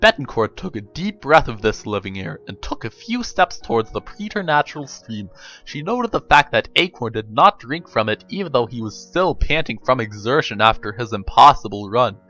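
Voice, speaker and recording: A man giving a dramatic reading of a story, with emphatic phrasing and deliberate pauses. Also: mid-range voice, very animated, bright, forceful tone, highs cut off, soft background music. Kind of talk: dramatic audiobook-style narration with pictures.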